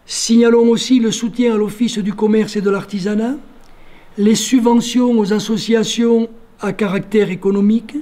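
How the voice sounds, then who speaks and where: A man, a local official, delivering a formal prepared speech in measured institutional phrasing with careful lists. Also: sits high, level tone, warm tone.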